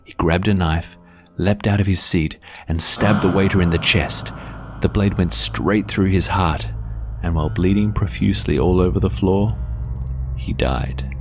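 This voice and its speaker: A man narrates a scripted horror story in a measured, deliberate, dramatic storytelling manner. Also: sits low, music in the background.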